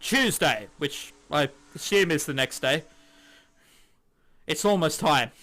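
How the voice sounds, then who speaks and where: A man's voice: on the high side, expressive, slow pace, sharp tone. A man doing a playful let's play, reading a visual novel aloud with dramatized lines and jokey, sweary asides. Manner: acts out dialogue in exaggerated voices.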